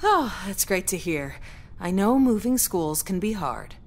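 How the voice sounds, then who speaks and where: Lively, exasperated mom. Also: female voice, medium pitch, measured pace. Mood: caring. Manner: gently reproving. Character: concerned, exasperated mother of a teenage girl. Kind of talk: visual novel game voice acting.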